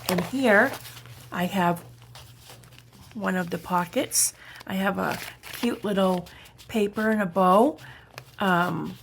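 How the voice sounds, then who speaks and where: A woman talks slowly through a casual craft show-and-tell, pausing often as she goes. Her voice is a little raspy with noticeable fry at the edges, over faint background noise. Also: mid-range voice. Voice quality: slightly raspy morning voice. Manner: unhurried, chatty, halting as she shows items. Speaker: craft vlogger.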